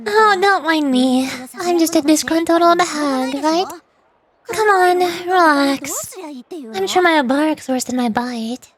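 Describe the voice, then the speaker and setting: Woman as ancient girlish sage, video game: high voice, proud, teasing, sardonic, theatrical emphasis. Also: lively intonation, slow.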